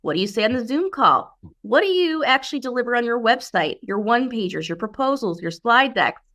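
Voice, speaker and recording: A woman's voice: on the high side, expressive, a little fast, a bit bright. Emphatic woman coaching on business. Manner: emphatic, coaching, poses rhetorical questions. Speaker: podcast guest, brand strategist. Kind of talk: explanatory business interview talk.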